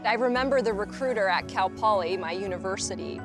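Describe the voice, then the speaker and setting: A woman's voice: mid-range voice, brisk, over music. A woman engineer talking informally about herself in a documentary interview. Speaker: NASA payload systems engineer.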